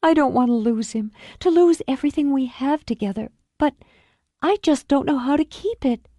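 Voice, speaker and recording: A woman voicing a distraught young mother who pleads through tears, her voice breaking high. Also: expressive, normal speed, mellow, no echo, studio quality. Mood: pleading. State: tearful.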